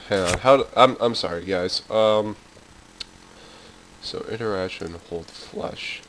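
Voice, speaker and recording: A man's voice: fairly low pitch, fairly bright tone, a little hiss or hum, low-quality recording. Jumpy young man playing a horror game. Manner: jumpy, swearing, nervous laughs, yelps when startled. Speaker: gaming channel host. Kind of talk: let's play commentary.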